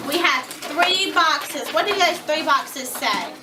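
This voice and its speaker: A woman's voice: high-pitched, animated, takes it slowly. A woman teacher instructing young children in a classroom reading lesson, giving directions and checking with questions. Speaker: elementary school teacher. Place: elementary classroom, away from the mic. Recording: echoey, distant, noisy classroom recording with clicks.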